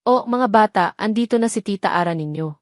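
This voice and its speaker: A woman's voice: medium pitch, lively intonation, a little fast, no room sound, clean studio sound, lightly acted. A woman voicing a falsely sweet female rival in an audio story, sugary and overly friendly. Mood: fake cheerful.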